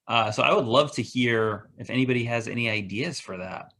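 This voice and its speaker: Man, presenter, encouraging, moderate pitch, video call. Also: brisk, fairly bright tone. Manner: encouraging, brief acknowledgments. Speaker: presenter answering questions.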